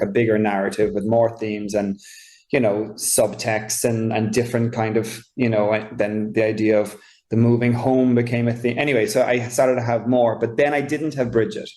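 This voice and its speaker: A man's voice: medium pitch, darkish tone. Reflective Irish man, roomy echo. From Ireland. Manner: reflective, searching for words, expansive. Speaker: novelist guest. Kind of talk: conversational author interview.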